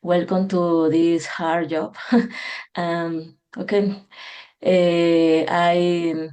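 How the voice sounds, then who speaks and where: Woman from Ecuador, low voice, hesitant intro over a video call. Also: little pitch movement, very slow, a little mellow. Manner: hesitant, with many fillers. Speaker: new board member, online. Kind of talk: brief self-introduction.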